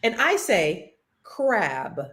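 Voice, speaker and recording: A woman's voice: medium pitch, drawn-out words. A woman from California co-hosting a talk show, bantering playfully and reading out viewer comments. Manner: teasing, animated, reads viewer comments aloud. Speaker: co-host. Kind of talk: casual playful banter with a co-host.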